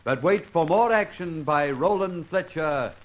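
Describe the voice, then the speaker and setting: A man announces in the style of an old radio serial. His voice sits fairly high, with a deliberate and dramatic delivery. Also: expressive, highs cut off.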